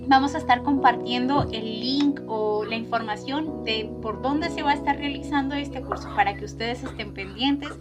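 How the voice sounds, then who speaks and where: A woman's voice: sits high, moderate pace, few pauses, loud music behind the voice. A Colombian woman with a slight Chilean touch in her accent, hosting a faith podcast in a friendly, eager way. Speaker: podcast host.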